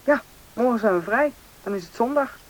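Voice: mid-range voice, lively intonation, brisk, lightly acted. A performer playing a bossy, knowing country boy, confident and impatient, in a radio drama. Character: bossy, knowing boy who grew up in the taiga. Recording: noisy old radio play recording.

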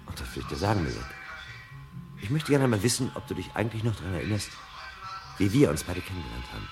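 An actor playing a brooding, discontented husband in a German radio drama, grave, earnest and probing. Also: male voice, deep voice, sing-song, fast talker, music in the background.

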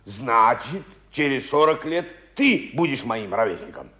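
A man's voice: medium pitch, very animated, a little slow, pushed and bright. An actor playing an older man, playful and insistent, in emphatic stage dialogue with a younger friend. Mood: mock serious.

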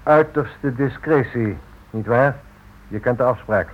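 Actor as a cautious financier, muffled old recording. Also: male voice, mid-range voice, natural voice. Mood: stern, discreet. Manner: measured, formal, probing. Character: cautious, formal businessman financier, money-minded and discreet. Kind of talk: radio play acting.

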